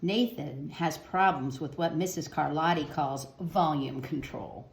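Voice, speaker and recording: A woman reading to children in a storytelling style, with clearly phrased, expressive delivery. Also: sits low, a little slow. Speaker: children's book reader. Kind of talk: read-aloud storytelling for children. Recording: roomy, echoing sound.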